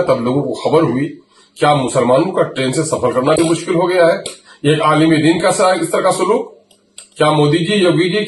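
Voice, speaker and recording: A man delivers a political statement in a formal, oratorical manner. His voice sits fairly high and is heard from a distance in an echoey space, with noticeable noise behind it. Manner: oratorical, rhetorical questions and deliberate phrasing. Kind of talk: political statement in formal, Urdu-heavy speech. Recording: echoey, distant mic, clear background noise.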